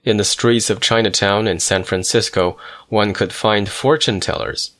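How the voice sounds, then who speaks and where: A man with a lowish voice reads a first-person memoir aloud. His pacing is measured and reflective, unhurried storytelling with steady, even phrasing. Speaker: audiobook narrator. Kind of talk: scripted memoir narration.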